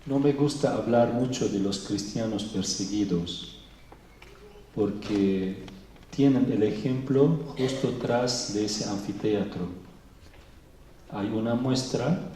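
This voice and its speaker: Slow, thoughtful man in an echoey hall. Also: on the low side, dark tone, slight background noise. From Venezuela. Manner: slow and thoughtful, with pauses and fillers. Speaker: Orthodox bishop giving a talk. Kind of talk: speaking at a public talk. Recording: echoey hall, mic far off.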